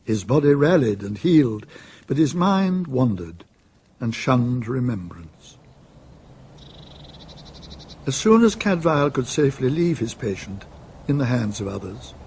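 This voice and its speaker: A man narrating a radio drama in a measured, deliberate storytelling manner. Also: mid-range voice, big pitch swings, a little mellow, a little hiss or hum.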